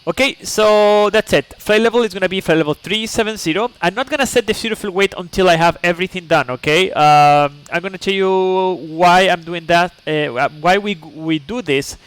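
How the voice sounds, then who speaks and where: Man explaining things in a higher voice. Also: normal speed, hardly any pauses, fairly bright tone, smooth, rough recording. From Chile. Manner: patient and instructive, checks in often with "okay?"; chatty asides to viewers. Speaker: flight sim streamer. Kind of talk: explaining steps while streaming live.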